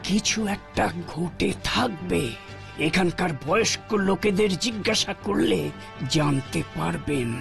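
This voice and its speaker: Creaky-voiced, humble cartoon caretaker. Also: male voice, sits high, measured pace, a bit bright, faint music behind, exaggerated voice. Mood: deferential, wary. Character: humble, wary male bungalow caretaker. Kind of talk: dramatized cartoon dialogue.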